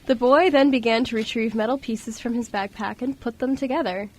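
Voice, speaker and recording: High-voiced woman narrating a story. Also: fairly even intonation, a little fast. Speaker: radio show co-host and narrator.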